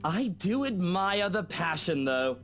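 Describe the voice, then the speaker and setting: A sneering upper-class British husband in a visual novel game. His voice sits fairly high and swoops widely as he drawls with sardonic condescension, dismissive and suspicious, over soft guitar music. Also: very animated, slow pace, full character voice.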